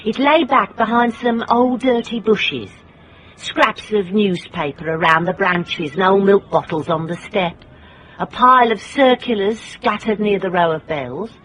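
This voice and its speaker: An actress playing a tough, determined private investigator in a radio drama, dry and wry. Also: female voice, medium pitch, a little slow, fairly bright tone, slightly rough, low-quality recording. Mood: wry, observant. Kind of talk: radio drama acting with first-person narration.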